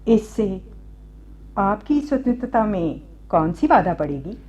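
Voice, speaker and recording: Breathy, sorrowful captive princess. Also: female voice, medium pitch, very animated. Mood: firm, puzzled. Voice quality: soft, breathy, mellow. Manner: formal, plaintive, deliberate. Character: captive young princess, devout, sorrowful and pleading. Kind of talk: slow, theatrical Hindi radio drama acting.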